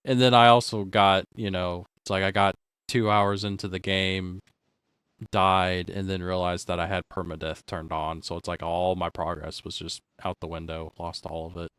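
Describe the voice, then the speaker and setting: A man with a very deep voice chats loosely with friends while streaming a game. He rambles and restarts his sentences as he thinks, talking into a desk mic over voice chat. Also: level tone, normal speed, fairly bright tone, smooth, dry recording. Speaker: streamer host. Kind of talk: casual chat while gaming.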